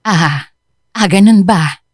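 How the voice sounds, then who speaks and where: An actress playing a cool, middle-aged Filipina mother with a husky voice in a radio drama. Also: lowish voice, slow pace, dry recording, studio quality. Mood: surprised, cool.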